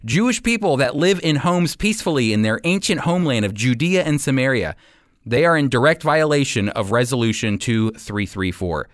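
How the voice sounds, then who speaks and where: Emphatic male commentator with lively, swooping intonation. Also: medium pitch, moderate pace, dry recording, clean studio sound. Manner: emphatic, persuasive, direct address to listeners. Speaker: host and Bible teacher giving commentary. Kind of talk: scripted radio commentary.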